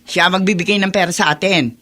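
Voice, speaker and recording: A woman's voice: on the low side, fast, sharp tone. A woman playing a callous, threatening aunt in a radio drama, bossy and curt. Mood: impatient, menacing. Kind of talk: Filipino radio drama acting.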